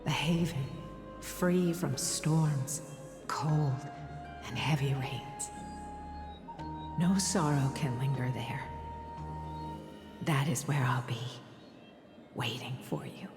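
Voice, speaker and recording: A woman playing a gentle, tender mother in a game, speaking softly and breathily in a soothing way. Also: a bass voice, slow pace, pauses often. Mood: tender, wistful. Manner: soft, breathy, soothing delivery. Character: gentle, tender mother telling her child of a haven. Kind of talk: dramatic game voice acting. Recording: echoing long reverb, music behind.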